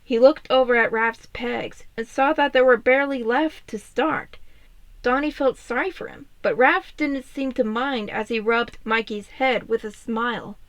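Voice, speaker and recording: Woman reading fan fiction out loud, kinda high voice, storytelling narration. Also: measured pace.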